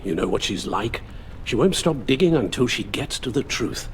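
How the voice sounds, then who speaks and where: A loyal older British butler, voiced by an actor in a video game. He speaks formally and with fatherly concern, his medium-pitched voice rising and falling widely over audible background noise. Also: lively intonation, moderate pace, lightly acted. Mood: worried.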